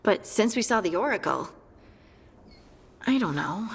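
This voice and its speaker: A woman voicing an earnest, troubled young heroine with a husky voice in a video game. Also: mid-range voice, animated, a little slow. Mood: downcast, unsure. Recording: long reverb, faint music.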